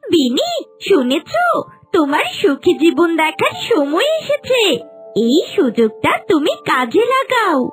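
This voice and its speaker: A woman's voice: very high voice, big pitch swings, measured pace, faint music behind, exaggerated voice. A woman voicing a kind, encouraging little talking bird in a fairy-tale cartoon, urging in a sing-song way. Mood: eager urging.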